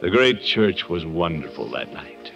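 A man's voice: low voice, sing-song, unhurried, over loud music, exaggerated voice. An American actor in 1950s radio style playing a kindly, sheepish middle-aged man who tells a Christmas story expressively and unhurriedly. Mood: earnest, reverent. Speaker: lead actor of a radio comedy. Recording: dull vintage 1950s radio recording.

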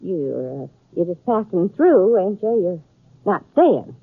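Flustered husky frontier aunt, old radio. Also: female voice, lowish voice, big pitch swings, slow, no room sound, heavily acted. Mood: flustered, nervous. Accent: rural western American. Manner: flustered, faltering. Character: fussy, sheepish, meddling older frontier aunt. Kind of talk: 1950s radio western acting. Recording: tinny, narrow-band 1950s radio recording.

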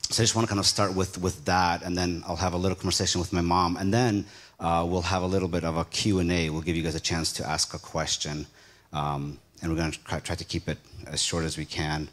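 A man's voice: sits low, little pitch movement, fairly quick, a bit bright. A middle-aged man born in Ukraine and raised in the United States, speaking to a congregation in an earnest, conversational way and often stopping to rephrase. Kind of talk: informal talk to a congregation.

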